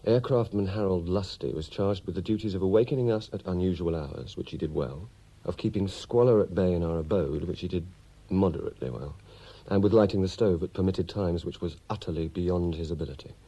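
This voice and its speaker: Low husky british ww2 airman, dry n droll, very dark mellow voice, radio play. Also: a little fast, few pauses, poor audio. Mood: wry narration. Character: wry, weary British wartime airman, narrating his memoir. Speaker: narrator and character.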